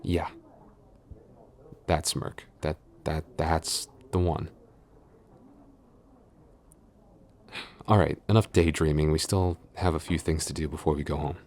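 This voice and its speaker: A man's voice: very deep voice, steady tone, moderate pace, a little mellow, a little hiss or hum. A man playing a flustered tsundere boyfriend and coworker, grumbling but smitten, in an intimate, hesitant, mock-scolding ASMR audio roleplay. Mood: fond, casual. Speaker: voice actor.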